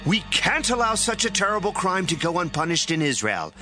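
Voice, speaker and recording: A man's voice: high voice, big pitch swings, a little fast, over music. A man acting an outraged Israelite messenger who demands justice indignantly in a dramatized audio Bible. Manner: indignant, declamatory.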